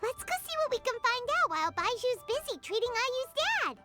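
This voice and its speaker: A woman's voice: very high voice, sing-song, normal speed. A woman voicing a tiny floating female sprite companion, bubbly and talking about herself in the third person. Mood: curious. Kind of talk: game voice acting. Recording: game audio with music behind.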